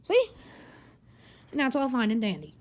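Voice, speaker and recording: High-voiced woman, casual and rambling. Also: wildly swooping pitch, normal speed, darkish tone. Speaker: gadget reviewer. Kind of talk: casual hands-on demonstration. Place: carpeted living room indoors. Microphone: handheld camera or phone, speaker off screen.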